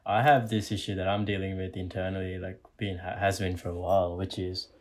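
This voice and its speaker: A man's voice: low-pitched, little pitch movement, fairly quick. A man from Sri Lanka hosting a podcast, thinking aloud in a rambling way and tagging sentences with "right?". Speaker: podcast host. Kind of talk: casual discussion.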